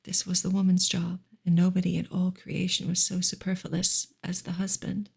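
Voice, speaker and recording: A woman whispering a novel aloud as a soft ASMR reading, in a hushed, gentle and breathy voice with creaky fry. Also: lowish voice, monotone, moderate pace. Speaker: ASMR reader.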